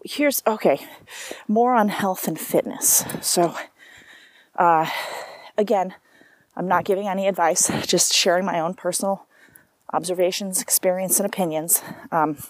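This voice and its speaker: A woman's voice: on the low side, no echo. A woman talking casually to her camera, rambling from thought to thought with many asides and restarts. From South Africa. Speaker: vlogger. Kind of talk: casual personal monologue.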